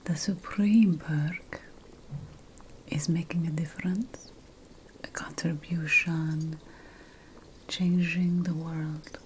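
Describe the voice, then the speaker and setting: A woman voicing a sly, conspiratorial potion-making witch in an ASMR fantasy roleplay, whispering slowly and deliberately with dramatic pauses. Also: low voice, steady tone, a little hiss or hum, low-quality recording. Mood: hushed, confiding.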